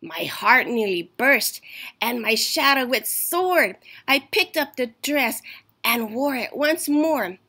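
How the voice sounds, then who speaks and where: A woman reading a rhyming children's picture book aloud in a deliberate, expressive storytelling way. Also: a little high, breathy edge. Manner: slow, deliberate, emphatic storytelling. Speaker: storytime reader.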